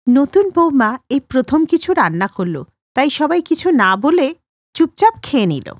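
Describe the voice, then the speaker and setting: High-pitched, animated woman storyteller. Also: normal speed, dry recording, clean studio sound. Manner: expressive. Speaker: narrator. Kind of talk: scripted children's story narration.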